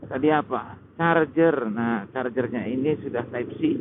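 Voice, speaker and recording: An Indonesian man giving a casual, unscripted gear review while riding a motorcycle, halting often as he searches for words. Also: medium pitch. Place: outdoors on a motorcycle in traffic. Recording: tinny phone-like helmet intercom, loud road noise. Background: loud vehicle and road noise.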